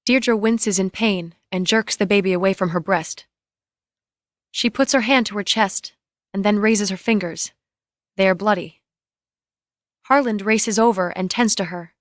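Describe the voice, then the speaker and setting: A woman narrates a script scene by scene, reading the directions plainly and in clipped phrases. Her pitch is medium. The sound is close and dry. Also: unhurried, pauses often, no echo. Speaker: reader of stage directions.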